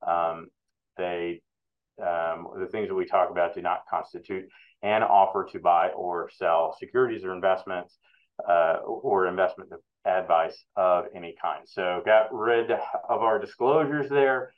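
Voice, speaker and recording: A man with a very low voice hosts a webinar interview, pausing often and filling gaps with ums and uhs. The sound is thin and phone-like, as if from a video call. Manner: halting, many fillers, relaxed. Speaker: interviewer, research analyst. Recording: tinny phone-like line.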